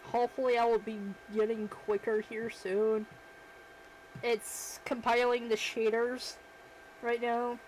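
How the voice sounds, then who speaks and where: Brief: a chatty, self-deprecating woman streamer with a fairly high voice, slow and easygoing, with faint background noise. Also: level tone, darkish tone, poor audio. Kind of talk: casual livestream chat while working.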